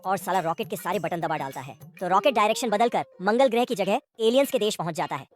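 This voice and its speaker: A woman's voice: medium pitch, animated, fast talker. A woman giving scripted comic narration of cartoon recaps in crude, slangy, irreverent street language. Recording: loud music under the voice. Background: loud music.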